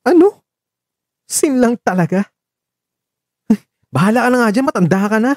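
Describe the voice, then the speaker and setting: A man's voice: extremely high pitch, expressive, normal speed, a little mellow, dry recording, pitched above the performer's own voice. A man playing a caring, nagging man who frets and gets exasperated in an audio drama. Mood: exasperated, anxious. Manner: exasperated, insistent, emotional. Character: Marco, caring young man, nagging and fretful.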